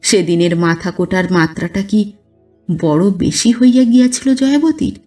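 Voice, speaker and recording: A woman reading a classic literary story as an audio narrator, in a measured, expressive storytelling manner. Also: medium pitch, recorded from a distance. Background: background music.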